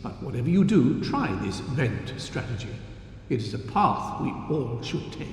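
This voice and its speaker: Cryptic news announcer, formal, measured, animated intonation, eerie game broadcast, heavily reverberant hall. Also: male voice, medium pitch. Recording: echoey hall, long reverb, faint noise.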